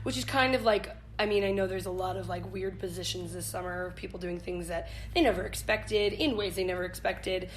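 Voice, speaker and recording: A woman's voice: fairly low pitch, steady tone, fairly quick, hardly any pauses, low-quality recording. An American woman in her twenties chatting casually as an interview guest, with an informal, giggly manner. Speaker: interview guest, camp staff leader. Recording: noticeable background noise.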